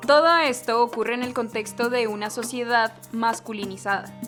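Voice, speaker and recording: A woman with a fairly high voice narrates a documentary from a script. Music runs underneath her reading. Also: steady tone, moderate pace, fairly bright tone.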